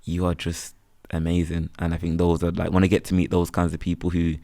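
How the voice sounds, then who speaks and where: A young man with a Birmingham accent, chatting in a relaxed, rambling way as an interview guest. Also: sits low, a little fast, vocal fry, no echo. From England. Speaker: guest, freelance photographer. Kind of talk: casual interview chat.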